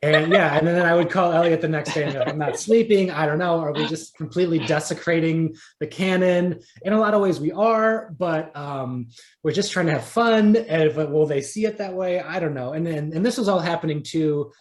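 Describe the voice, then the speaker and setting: A man's voice: a little high, fairly quick. A man chats as a guest in a casual interview, his voice echoing around the room. He talks in a hesitant, self-deprecating way. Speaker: writer, podcast guest. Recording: roomy, echoing voice.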